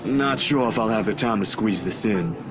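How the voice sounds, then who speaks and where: A man's voice: fairly low pitch, normal speed, close to an everyday voice. An actor playing an awkward, polite male photographer who stammers and hesitates, in visual novel game dialogue. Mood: hesitant, awkward. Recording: music behind the voice.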